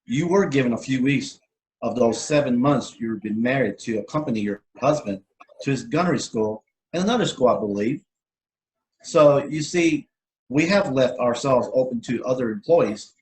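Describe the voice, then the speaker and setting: A man performs an officious railroad boss with a mid-pitched voice. He lectures in a measured, bureaucratic and reproving manner, as in a stage play read aloud. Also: lightly acted, poor audio. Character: stern, officious male railroad depot boss. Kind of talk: one-act play table read. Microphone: video call.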